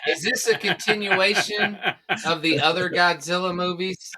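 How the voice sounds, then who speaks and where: A man's voice: high voice, fairly even intonation, normal speed, bright, forceful tone, poor audio. A man in casual conversation as a podcast co-host. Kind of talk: casual chat with questions.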